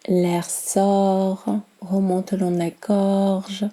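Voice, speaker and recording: A woman guiding a yoga nidra meditation in a soft, soothing, unhurried manner with long pauses. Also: mid-range voice, level tone. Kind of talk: guided meditation.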